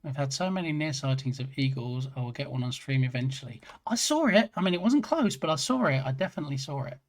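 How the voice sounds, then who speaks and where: Man, mid-pitched, rambling casual streamer. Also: animated, quick pace. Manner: chatty, self-mocking, rambling. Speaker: game dev streamer. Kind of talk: casual live-stream chat.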